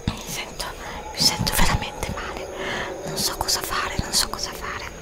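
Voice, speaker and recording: A woman's voice: moderate pace, lightly acted. Frightened whispering heroine, acted by a woman. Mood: panicked, despairing. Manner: anxious, breathy, repeating herself in short bursts. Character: frightened, desperate young heroine lost in a magic forest. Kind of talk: whispered ASMR roleplay. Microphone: close USB studio microphone.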